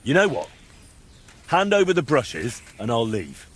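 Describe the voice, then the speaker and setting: An actor playing a calm young man in a medieval game, questioning people in a measured, dryly mocking, insistent way. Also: medium pitch, breathy edge, full character voice. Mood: firm, accusing. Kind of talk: video game dialogue acting.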